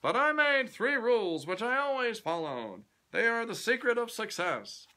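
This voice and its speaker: A man putting on a booming, boastful old company boss. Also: big pitch swings, moderate pace, bright, forceful tone, full character voice. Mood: pompous. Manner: booming, self-important lecturing. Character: loud, boastful older man, company president.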